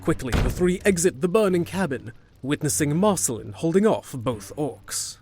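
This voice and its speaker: A man's voice: fairly high pitch, expressive, moderate pace, background noise. A man narrating a scripted fantasy audio drama as a storyteller. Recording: music behind.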